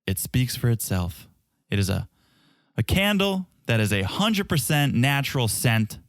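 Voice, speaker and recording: A man's voice: mid-range voice, measured pace, dry recording, clean studio sound. Playful man co-hosting a podcast. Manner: playful, teasing, animated delivery. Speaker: podcast co-host. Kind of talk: casual comedic chat.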